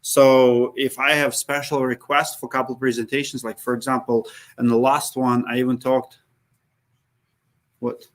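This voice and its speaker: A man's voice: mid-range voice, level tone, normal speed. A man with an accent, hosting and answering viewers' questions in a live session. He talks casually, dropping articles, with many fillers and restarts. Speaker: host. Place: Minneapolis.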